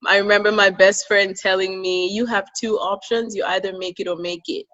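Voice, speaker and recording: A woman's voice: medium pitch, level tone, a little fast, a bit bright, muffled recording. A woman from Cameroon, telling her own story as an interview guest and laughing easily. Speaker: podcast guest.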